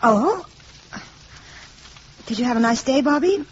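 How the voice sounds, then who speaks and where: An actress playing a gracious, affectionate young mother with a gentle, polite manner in a 1950s radio drama. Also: high voice, lively intonation, unhurried. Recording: dull, hissy 1950s radio recording.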